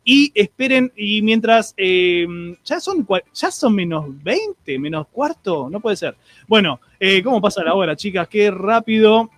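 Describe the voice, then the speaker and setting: A man's voice: high-pitched, lively intonation, a little slow, fairly bright tone. A man with an Argentine accent hosting a streamed radio show, chatting and greeting listeners with many restarts and fillers.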